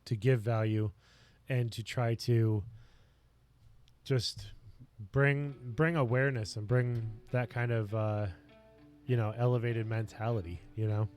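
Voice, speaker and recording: A man chatting casually on his home livestream in a basement office, rambling and earnest with lots of fillers, his voice getting a little raspy. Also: medium pitch, fairly even intonation, unhurried, darkish tone, faint music behind. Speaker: streamer and lifestyle coach.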